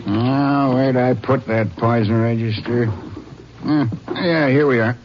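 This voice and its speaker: Actor as a slow, folksy American druggist. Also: male voice, mid-range voice, music in the background, close to an everyday voice. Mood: amiable, searching. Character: folksy, unhurried small-town Western druggist. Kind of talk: 1950s radio drama acting. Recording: muffled old radio recording, faint hiss.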